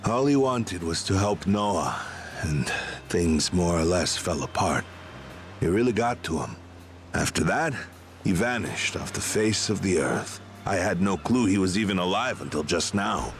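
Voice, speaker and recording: A man's voice: deep voice, animated, a little slow, a little hiss or hum. An actor playing an earnest, loyal veteran pirate crewman in a video game, explaining things like a storyteller. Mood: somber.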